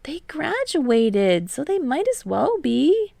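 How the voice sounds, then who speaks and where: Friendly, earnest teen boy done by a woman reader; raised pitch, emphatic storybook delivery. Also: very animated, takes it slowly, vocal fry, warm tone, dry recording, heavily acted. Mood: earnest protest.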